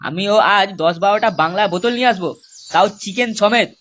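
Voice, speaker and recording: A performer voicing a crude, excitable cartoon village pal, coarse and boastful. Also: mid-range voice, fairly quick, natural voice. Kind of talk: comic cartoon dialogue. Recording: clearly noisy cartoon soundtrack.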